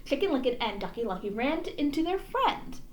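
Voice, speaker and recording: A woman's voice: high voice, big pitch swings, fairly quick, darkish tone. A woman presenting storytime, reading aloud expressively and explaining in a casual way.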